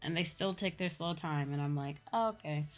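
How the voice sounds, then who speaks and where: A woman with a Midwestern American accent telling stories casually as a livestream host, in an animated, chatty manner. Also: deep voice, normal speed. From Midwestern United States. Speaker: streamer and VTuber host. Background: background music.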